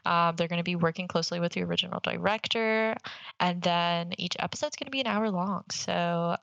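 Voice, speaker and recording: A woman co-hosting a podcast in casual, excitable chat, informal and given to swearing. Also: on the low side, fairly quick, vocal fry, clean tone, no echo, highs cut off. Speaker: podcast co-host. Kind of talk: casual enthusiastic chat about anime.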